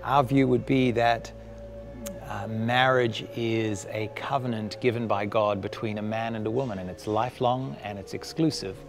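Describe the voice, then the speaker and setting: Measured man with a gravelly edge. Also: lowish voice, steady tone, over music. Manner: measured, formal, deliberate phrasing. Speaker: religious interviewee. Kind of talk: explanatory interview, doctrinal.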